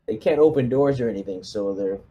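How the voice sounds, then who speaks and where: A man playing a space marine squad commander in a tabletop roleplaying game, giving orders in a measured, hesitant, businesslike way. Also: on the low side, mellow, dull audio, slight background noise, pitched below the performer's own voice, close to an everyday voice. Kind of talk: in-character tabletop roleplay briefing.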